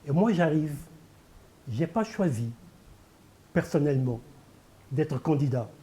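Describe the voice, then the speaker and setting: Older Senegalese poet, Pulaar first language, slightly high yet deeply dark tone, rhetorical and emphatic. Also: male voice, animated, slow. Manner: emphatic, repeats key phrases, rhetorical. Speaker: poet and candidate guest. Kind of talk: televised interview.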